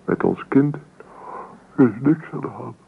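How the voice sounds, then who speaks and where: An actor playing a stubborn, sardonic young husband in a naturalistic radio drama, gruff and dismissive. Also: male voice, medium pitch, animated, measured pace, heavily acted. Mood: irritated, curt. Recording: old radio play, phone-like muffled sound, noisy.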